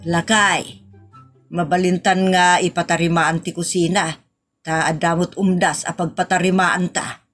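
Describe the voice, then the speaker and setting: A woman's voice: fairly low pitch, little pitch movement, measured pace, a bit bright, faint music behind. A woman playing a practical, persuasive wife in an Ilocano comedy audio drama, conversational and with an Ilocano accent.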